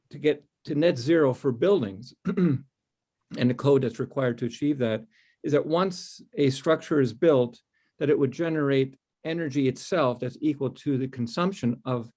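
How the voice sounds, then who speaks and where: A man at a mid-range pitch chairs an online city board meeting. He welcomes people and asks questions in a loose, friendly way, full of "um"s and restarts. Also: a little mellow. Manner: friendly, rambling, filler-heavy.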